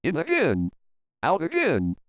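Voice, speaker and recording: Guy reading a kids rhyming book, expressive storyteller, clean smooth voice, no echo. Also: fairly high pitch, unhurried, lo-fi sound. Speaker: children's story reader, host. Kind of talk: rhymed picture-book narration.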